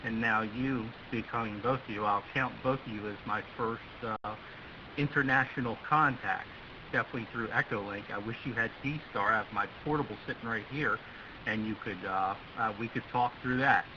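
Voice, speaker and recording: A man's voice: on the low side, normal speed. An American man chatting casually and wordily as an amateur radio operator, explaining things at length. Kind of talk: casual ham radio chat. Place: radio voice link, heard from the far end. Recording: hissy, muffled internet radio link.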